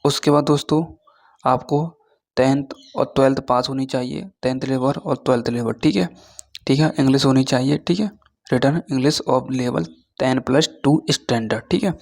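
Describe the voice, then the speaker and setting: A man giving an informal explainer lesson as an online teacher, reading through points haltingly and often checking in with short tag words. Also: medium pitch, steady tone, a little mellow. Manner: switches between Hindi and halting read-out English.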